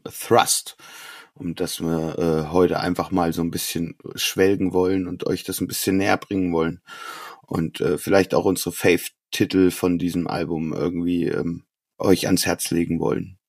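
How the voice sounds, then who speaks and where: Man, deep husky voice, casual podcast co-host, dry recording. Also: fairly even intonation, moderate pace, dry recording.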